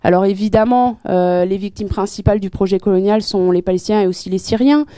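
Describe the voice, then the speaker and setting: Woman, guest speaker walking through slides; warm low-toned voice, earnest, lots of hesitant restarts, dry close sound. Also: quick pace, dry recording. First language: French. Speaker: guest speaker at a public debate. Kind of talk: explanatory lecture with slides.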